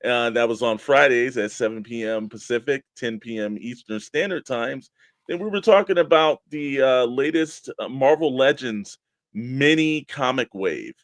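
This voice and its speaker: A man's voice: medium pitch, moderate pace, dry recording. A man co-hosting a casual talk show about a hobby, speaking in an informal, rambling way. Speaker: show co-host. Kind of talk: casual chat about toy collecting.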